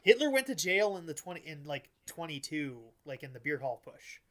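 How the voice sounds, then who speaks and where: A man hosting a casual political podcast, talking in a halting, rambling way with lots of filler words and some swearing. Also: a little high, sing-song, fairly bright tone. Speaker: podcast host.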